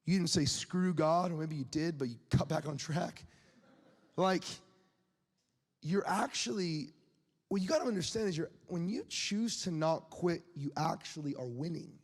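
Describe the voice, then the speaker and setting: A man's voice: on the high side, normal speed, in a large hall. A man in his late twenties preaching a sermon, speaking candidly and conversationally, with laughs and asides to the crowd. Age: 20s.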